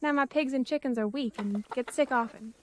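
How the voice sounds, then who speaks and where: Woman playing a high-pitched Southern country moonshiner. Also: brisk, slight background noise, close to an everyday voice. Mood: worried. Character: grateful, hospitable country moonshiner woman, later puzzled. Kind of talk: amateur audio drama voice acting.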